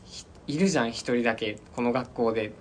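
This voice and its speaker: A man chatting casually and enthusiastically as he pitches drama ideas, acting out imagined lines. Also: fast talker, a little hiss or hum. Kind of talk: casual creative brainstorming chat.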